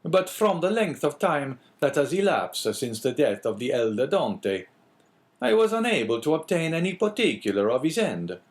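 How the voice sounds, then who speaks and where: A man reading an audiobook voices a calm, earnest priest in a solemn, measured way. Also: a little high, pitched above the performer's own voice. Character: calm, earnest middle-aged male priest.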